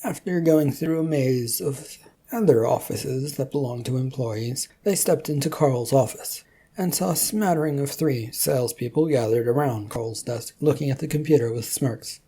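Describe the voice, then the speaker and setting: Story narrator, mid-pitched, mellow, slightly husky, reading scripted fiction. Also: normal speed.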